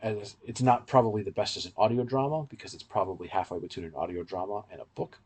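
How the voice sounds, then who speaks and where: A man's voice: lowish voice, fast talker, darkish tone, slightly rough. A man co-hosting a podcast, giving casual, in-depth fan commentary in a rambling, explanatory way. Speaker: podcast co-host.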